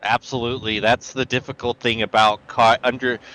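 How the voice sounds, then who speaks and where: Smooth-voiced male color commentator. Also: medium pitch, level tone, a little fast, bright, forceful tone, low-quality recording. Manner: analytical, explains car handling. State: hoarse. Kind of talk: sim racing commentary.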